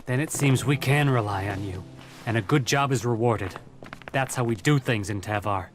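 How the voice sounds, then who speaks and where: A man's voice: mid-range voice, normal speed, music in the background, natural voice. An actor playing a pragmatic, wary male outlaw in a video game, colloquial and matter-of-fact. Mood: approving. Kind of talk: video game dialogue acting.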